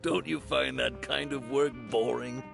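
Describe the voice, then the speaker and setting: A man voicing a hearty, jovial tavern keeper with a gravelly voice in a video game. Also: medium pitch, fairly even intonation, unhurried, over music.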